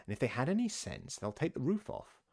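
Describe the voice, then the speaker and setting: Storybook young girl, read by a man: thoughtful, plucky, self-assured, with very lively intonation. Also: mid-range voice, fairly quick, voice pushed up. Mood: musing.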